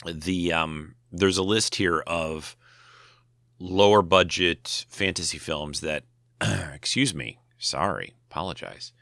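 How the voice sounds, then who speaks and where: A middle-aged man with an extremely low voice talks in a loose, rambling way, full of restarts and "you know"s. His tone is bright and forceful, and his throat sounds a little dry. The sound is close and dry, with no room around it. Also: takes it slowly, creaky, dry recording. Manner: rambling, many restarts and fillers. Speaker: podcast host. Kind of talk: casual solo talk.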